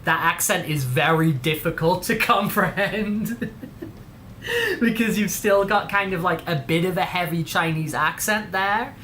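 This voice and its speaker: A man's voice: sits high, unhurried, bright, forceful tone, slight background noise. A man with an English accent hosting a livestream, chatting casually in a rambling, slangy way. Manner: rambling, heavy on slang like dude and bro. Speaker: livestream host.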